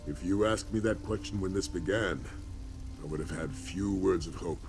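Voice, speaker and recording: An actor playing a grave, weary but hopeful warrior leader in a fantasy video game, speaking in solemn, measured oratory. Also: male voice, low voice, darkish tone, soft background music. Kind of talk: video game voice acting, dramatic fantasy dialogue. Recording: game audio with faint background ambience.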